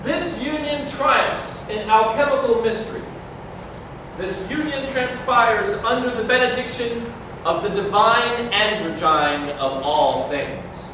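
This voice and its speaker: A man acts as an exalted officiant at a mystical stage wedding. His high voice moves slowly through solemn, incantatory verse. It comes from far off in a very echoey hall. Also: background noise, exaggerated voice. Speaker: actor in a staged reading. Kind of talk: ceremonial theatrical verse recital. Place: large community hall, staged reading.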